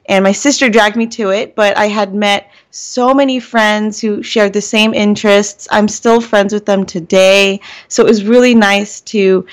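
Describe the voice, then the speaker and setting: A young woman as an interview guest, speaking sincerely and a bit hesitantly with many fillers. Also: mid-range voice. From Filipino-Spanish heritage. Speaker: guest, amiibo artist. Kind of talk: casual interview.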